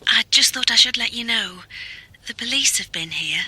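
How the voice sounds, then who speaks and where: An actress in a radio drama playing an anxious, deferential secretary with a tentative, polite manner. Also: female voice, on the high side, expressive, normal speed, pushed and bright, breathy edge, heavily acted.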